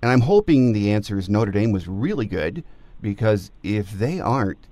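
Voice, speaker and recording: Male podcast host for conversational football analysis, lower-pitched voice, close dry sound. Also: big pitch swings, normal speed, dark tone, no room sound.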